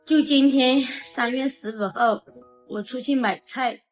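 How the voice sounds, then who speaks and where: A woman's voice: high voice, steady tone, measured pace, soft background music. A woman from mainland China speaking as an influencer in a social video clip.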